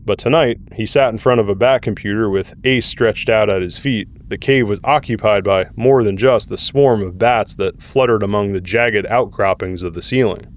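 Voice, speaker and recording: A man's voice: fairly low pitch, normal speed, hardly any pauses. A man narrating a scripted audio drama in the role of storyteller.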